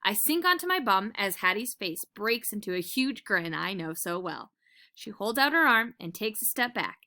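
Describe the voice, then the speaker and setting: A woman teacher reading a children's book aloud in a dramatic, expressive storytelling manner. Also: medium pitch, normal speed.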